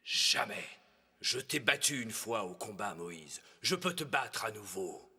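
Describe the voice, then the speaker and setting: A man voicing a proud, stubborn, scornful king of Egypt in an animated film, with a haughty, theatrical delivery. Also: lowish voice, measured pace, breathy edge, full character voice. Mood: defiant, angry.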